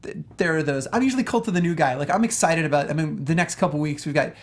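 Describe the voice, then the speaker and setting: Male co-host, quick talker, slightly high pitch, enthusiastic and joking. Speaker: panel show co-host. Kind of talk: casual chat about video games.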